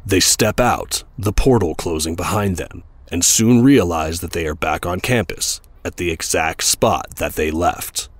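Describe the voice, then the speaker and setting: A man's voice: deep voice, measured pace, slight background noise. A man reading scripted narration for an audio drama.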